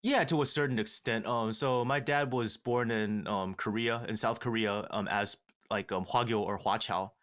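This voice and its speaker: Low-voiced man, measured and halting. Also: steady tone, fairly bright tone. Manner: measured, many "um" fillers and restarts. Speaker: podcast guest. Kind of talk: casual conversational storytelling. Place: small home studio with acoustic foam panels. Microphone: studio mic with headphones.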